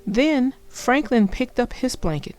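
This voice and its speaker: A woman narrating a children's story aloud in an expressive storytelling manner. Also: on the high side, unhurried, soft background music, low-quality recording.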